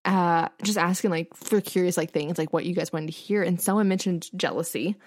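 Quick, bubbly woman podcast co-host. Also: lowish voice, darkish tone, dry recording, studio quality. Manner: fast, bubbly, filler-heavy talk with lots of laughing. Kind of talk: casual chat.

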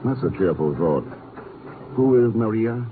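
An actor playing a cool, sardonic secret agent in a 1950s radio drama, dry and unflappable. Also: male voice, fairly low pitch, lively intonation, measured pace. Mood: cool, probing. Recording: tinny, noisy old radio broadcast.

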